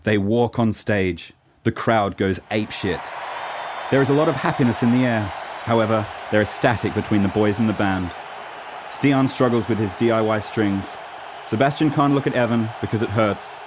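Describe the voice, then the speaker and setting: A man's voice: on the low side, moderate pace. A man from Norway giving scripted narration for a radio play. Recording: clear background noise.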